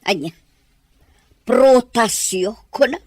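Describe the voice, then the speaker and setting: A woman playing a sharp-tongued, snobbish gossip who questions people scornfully. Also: fairly high pitch, expressive, takes it slowly, dry recording.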